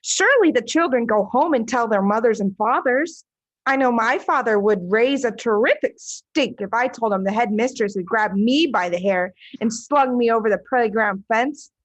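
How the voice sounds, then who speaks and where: A woman's voice: a little high, normal speed, no room sound, highs cut off, close to an everyday voice. A woman reading a storybook, voicing an indignant, curious young schoolgirl with eager, incredulous questions.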